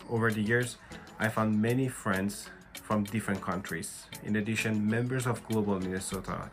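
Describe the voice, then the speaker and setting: A man from Iran, speaking slowly and sincerely about his student experience. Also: on the low side. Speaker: former international student.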